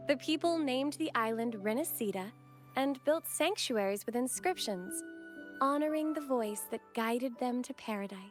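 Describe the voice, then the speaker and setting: Gentle, high-voiced young acolyte. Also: female voice, lively intonation. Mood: solemn, reverent. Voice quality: pleasant, clearly enunciated. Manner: formal, measured storytelling. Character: gentle young female acolyte guiding visitors, devout and courteous. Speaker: game character, guide. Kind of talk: scripted game dialogue and legend narration. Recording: background music under voice.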